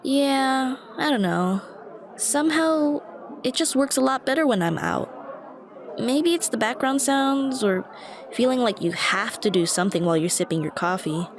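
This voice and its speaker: A woman's voice: high voice, lively intonation, moderate pace. A woman playing a shy, dorky young stranger in an ASMR roleplay, talking to the listener in a hesitant, self-conscious, rambling way. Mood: musing, rambling. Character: shy, dorky young woman, a friendly coffee shop regular. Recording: busy cafe chatter behind the voice. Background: coffee shop crowd ambience.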